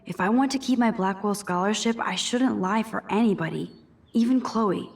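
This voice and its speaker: A woman's voice: medium pitch, moderate pace, close to an everyday voice. An actress playing a thoughtful, anxious teenage schoolgirl in a video game, voicing her thoughts reflectively and earnestly. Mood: regretful, worried. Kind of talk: video game voice acting, inner monologue.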